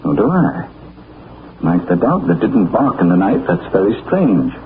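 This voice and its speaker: A calm, clever gentleman detective. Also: deep voice, unhurried, heavily acted. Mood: watchful. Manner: calm and thoughtful. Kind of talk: 1940s radio acting. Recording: tinny old radio broadcast with faint hiss.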